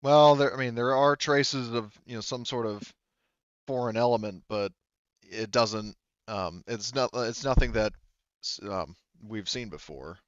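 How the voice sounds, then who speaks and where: A man acting as game master in a tabletop roleplaying game, explaining things in a halting, improvised way. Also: medium pitch, no echo, dull audio.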